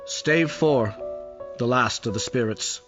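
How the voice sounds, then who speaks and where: A man's voice: mid-range voice, slow. A man reading literary narration in a solemn storytelling style. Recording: muffled lo-fi audio with music behind.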